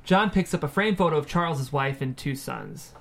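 A man's voice: a little high, expressive, normal speed, creaky. A man reading the stage directions as narrator of a screenplay table read.

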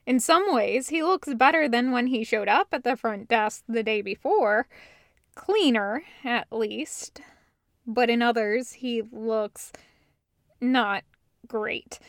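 A woman's voice: on the high side, takes it slowly, dry recording, studio quality. A woman narrating a story as a reader of fan fiction audiobooks.

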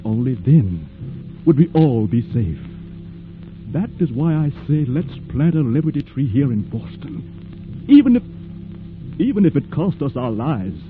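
A man acting an earnest, fearless former slave and freedom fighter in a solemn, declamatory 1940s radio drama style. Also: medium pitch. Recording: muffled, noisy vintage radio drama recording.

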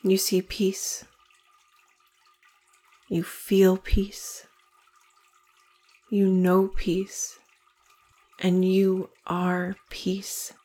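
A woman leading a guided meditation in slow, deliberate phrases with long pauses between them. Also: mid-range voice, monotone, mellow, slightly rough.

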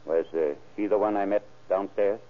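An actor playing a brisk, probing male sheriff in a businesslike old-time radio crime drama, clipped in his questioning. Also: lowish voice, natural voice. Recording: muffled, band-limited vintage radio recording.

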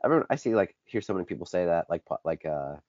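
A man's voice: deep voice, expressive, fast, a little mellow, no echo. A teenage boy co-hosting a casual sports podcast, a bit congested with a dry throat, rambling and correcting himself as he talks. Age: teens. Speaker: podcast co-host. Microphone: basic home podcast setup. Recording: dull lo-fi dry recording.

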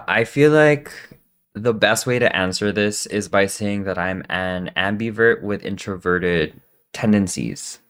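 Podcast co-host and streamer, man from the Philippines; lowish pitch, easygoing and reflective. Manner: easygoing, thoughtful, laughs softly. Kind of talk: casual chat.

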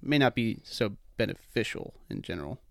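A man's voice: fairly low pitch, big pitch swings, a little fast, gravelly, fairly bright tone, no room sound, clean studio sound. A man hosting a podcast as a film director, chatty and casual, with many fillers and the odd swear.

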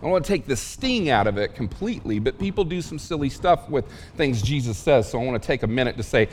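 A man preaching a sermon in a casual, emphatic way, with asides and quick questions to the congregation. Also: medium pitch, expressive, big-room sound. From Oklahoma. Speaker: pastor.

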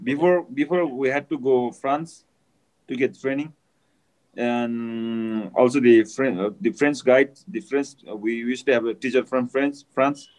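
A man with a Nepali accent, a native Nepali speaker, answering interview questions in halting, simple English. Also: mid-range voice, steady tone, darkish tone, rough recording. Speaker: interview guest, mountaineer. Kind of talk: casual interview.

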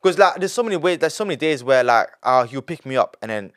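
A nineteen-year-old man, a podcast guest telling his story in an animated way, full of repetition and street slang. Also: mid-range voice, fast talker. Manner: animated, repeats himself, heavy street slang. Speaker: young entrepreneur guest.

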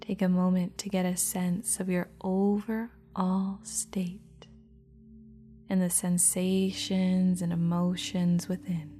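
Female meditation guide, slow, mellow, half-whispered, even delivery over faint music. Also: lowish voice.

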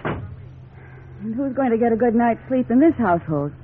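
Worried wife, old tinny radio. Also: female voice, on the high side, lively intonation, measured pace. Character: concerned, skeptical middle-aged wife. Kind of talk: 1950s radio drama acting. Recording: tinny, noisy 1950s radio recording.

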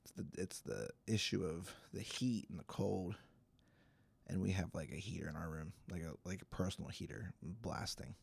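A man with a hoarse, stuffy voice, giving a casual livestreamed Bible teaching in a chatty, self-deprecating way. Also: low-pitched, normal speed. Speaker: pastor.

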